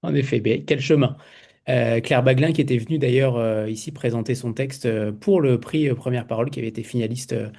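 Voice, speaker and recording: A man's voice: mid-range voice, a little fast, creaky, mellow. A man hosting a literary interview over a video call, asking questions with frequent hesitations. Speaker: host.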